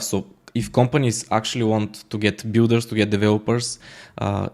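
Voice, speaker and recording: A man's voice: fairly low pitch, darkish tone. A man from Bulgaria, a Bulgarian native speaker talking in English, interviewed as a guest, speaking casually with many fillers and restarts. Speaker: guest, smart contract auditor.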